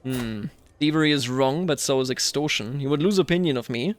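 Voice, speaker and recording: A man hosting a strategy game roleplay, thinking aloud in a musing, deliberate way as he comments and narrates. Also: medium pitch.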